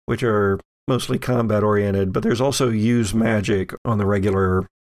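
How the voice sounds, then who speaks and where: Man with gravelly fry, game master. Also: on the low side, steady tone, normal speed, a little mellow. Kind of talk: running a tabletop roleplaying game.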